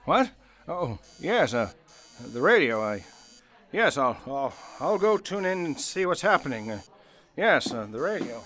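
A man's voice: on the high side, sing-song, a little slow, a bit bright. A man playing an agitated, blustering male office manager in an old-time radio play. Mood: flustered. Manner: blustering, stammering when rattled.

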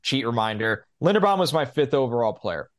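A man's voice: mid-range voice, big pitch swings, fairly quick. A man co-hosting a sports podcast, giving his opinions on football in a casual, conversational way.

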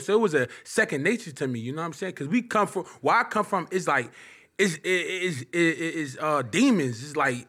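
A man's voice: fairly high pitch, pushed and bright. A man in his late twenties, a comedian guest on a podcast, talking casually and at length with lots of filler phrases. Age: 20s. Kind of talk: casual interview talk.

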